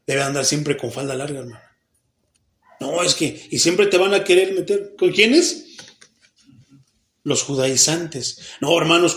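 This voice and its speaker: A Mexican man, a pastor with a Mexican Spanish accent, giving a Bible study sermon in a church hall. He keeps putting questions to the congregation and answering them himself. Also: a little high. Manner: acts out the people he quotes, even mock babbling.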